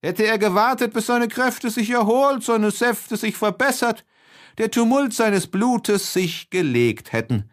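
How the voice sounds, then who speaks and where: Slow-paced man reading a literary audiobook. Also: high-pitched, expressive. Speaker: audiobook narrator. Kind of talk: dramatic literary audiobook reading of a first-person classic novel.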